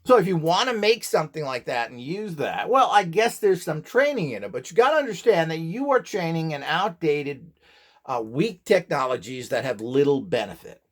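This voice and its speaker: A man's voice: fairly high pitch, sing-song, normal speed. A man instructor speaking informally as he demonstrates, with a blunt, opinionated and emphatic manner. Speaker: instructor selling courses and devices.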